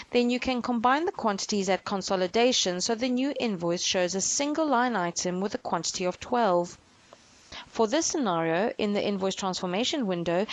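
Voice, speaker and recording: Woman narrating a scripted software tutorial. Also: mid-range voice. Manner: measured, instructional delivery.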